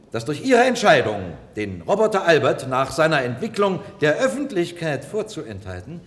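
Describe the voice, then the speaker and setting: An eloquent male defence lawyer in a radio play. His fairly high voice moves animatedly through emphatic, formal arguments. It rings through a reverberant hall. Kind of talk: radio drama courtroom oratory.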